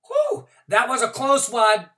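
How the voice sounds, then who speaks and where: A woman voicing a loud, excitable kindergarten girl who shouts and chatters breathlessly. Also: medium pitch, normal speed, far from the mic, voice pushed up. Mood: earnest chatter. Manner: shrieking, bouncy, breathless exclamations.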